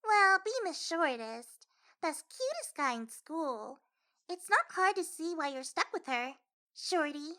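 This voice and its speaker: A woman playing a small, sharp-tongued teasing schoolgirl in a fan-made visual novel dub. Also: sits high, sing-song, unhurried, full character voice. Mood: teasing, snide.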